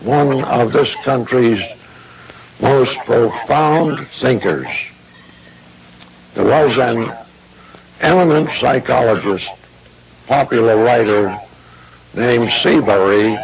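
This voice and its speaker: Slow, solemn man giving a lecture. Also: mid-range voice, creaky, low-quality recording. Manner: solemn, deliberate, grandiloquent. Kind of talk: formal esoteric lecture.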